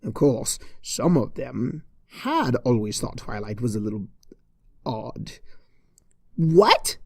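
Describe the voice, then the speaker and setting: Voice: mid-range voice, animated, slow, creaky, darkish tone, husky, dry recording, clean studio sound. A narrator reading a scripted comic story with dramatic storybook delivery. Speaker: narrator, fan fiction reader.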